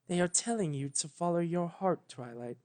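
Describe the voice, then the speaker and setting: A man voicing an ancient regal female pony princess, tender, proud and sorrowful, speaking solemnly and haltingly. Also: fairly high pitch, a little mellow, close to an everyday voice. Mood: tender, tearful.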